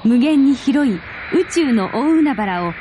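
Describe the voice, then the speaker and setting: A woman's voice: high voice, lively intonation, unhurried, dark tone. A woman narrating a 1970s space radio drama in an earnest, suspenseful, dramatic storytelling manner. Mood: solemn, stirring. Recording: very noisy old radio drama recording.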